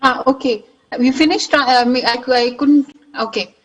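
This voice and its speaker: High-voiced woman moderating a panel. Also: fairly even intonation, normal speed, poor audio. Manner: polite, rambling questions.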